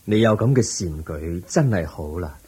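A man acting a calm, patient, wise teacher in a radio drama, measured and gently instructive, with a Hong Kong Cantonese accent. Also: low voice, breathy edge, full character voice.

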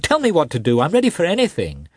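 A man's voice: a little high, huge pitch swings, quick pace, breathy edge, dry recording, voice pushed up, full character voice. A man voicing a guilt-stricken husband in an audiobook, pleading and faltering in a choked, emotional voice. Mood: sobbing, begging. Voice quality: soft, timid, choked with sobs.

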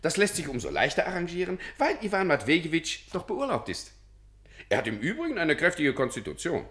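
A man's voice: a little high, wildly swooping pitch, brisk, a bit bright. An actor in a German radio play playing a self-important, evasive senior civil servant who dithers officiously and draws out his phrases.

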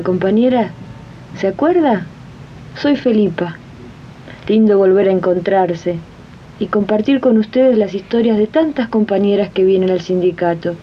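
A woman plays an Argentine housemaid, assertive and friendly, explaining things earnestly in radio drama style. The sound is a muffled archive tape with a faint rumble under it. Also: lightly acted. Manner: earnest, explanatory, addresses listeners as comrades. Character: assertive young housemaid and union-minded worker, friendly. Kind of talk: 1980s amateur radio drama acting.

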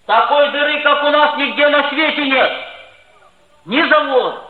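A woman's voice: high-pitched, unhurried. A woman playing a grumbling schoolboy in a radio play. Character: grumbling, hungry schoolboy, the narrator's best friend. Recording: echoey, narrow-band old radio recording.

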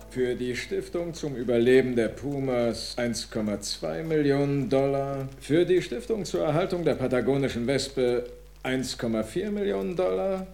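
A man playing a dry, unbothered notary in a radio drama, deadpan and dismissive, as if reading an official document. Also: mid-range voice, normal speed, vocal fry, faint music behind, lightly acted. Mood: official, flat. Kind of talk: dramatic radio play acting.

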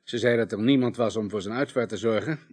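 An actor playing a formal, contrite undertaker in a radio play, speaking in precise, legalistic phrases with hesitations. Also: male voice, lowish voice, fast, natural voice. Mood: businesslike. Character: formal, contrite male undertaker giving a careful statement. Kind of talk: 1980s Dutch radio play acting.

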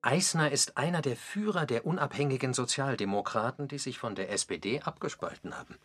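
A man's voice: medium pitch, expressive, fairly quick, fairly bright tone, husky. A man reading scripted documentary narration for a radio play.

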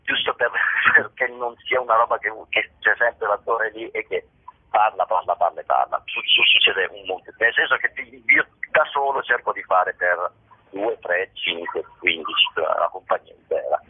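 Male interviewee, rather low husky voice, casual and searching, repeats words, telephone-quality audio. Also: normal speed. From Italy, province of Vicenza. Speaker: actor and playwright being interviewed. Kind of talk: informal, spontaneous interview talk. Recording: muffled, narrow telephone-line sound.

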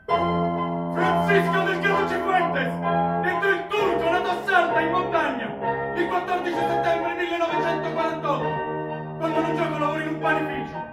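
An actor playing an intense young Argentine rugby player, declaiming a stage monologue. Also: male voice, extremely high pitch, lively intonation, moderate pace, recorded from a distance. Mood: proud. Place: theatre stage. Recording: echoey theatre from afar, loud music. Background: loud music.

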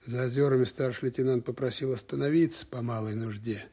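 A husky, medium-pitched male voice plays a wartime lieutenant colonel. He is thoughtful and methodical, reasoning aloud with deliberate pauses in audio drama style. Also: fairly even intonation, warm tone. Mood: methodical briefing.

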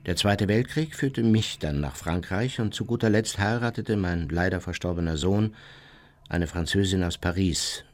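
A man's voice: low-pitched, normal speed, close to an everyday voice. An actor playing an old, unrepentant war criminal who recounts his life in a formal, matter-of-fact way for a radio drama.

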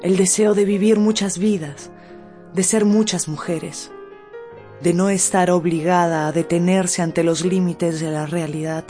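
A woman with a lowish, dark and mellow voice narrates a radio novel. She is measured and dramatic, leaving weighted pauses, over faint music. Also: fairly even intonation.

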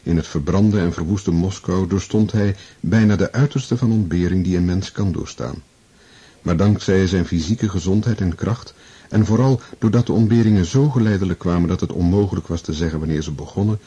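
A solemn narrator of a war epic, with a very low, dark voice. The delivery is measured radio drama storytelling, heard on a dull, muffled recording. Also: male voice, slightly rough. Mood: grave, measured.

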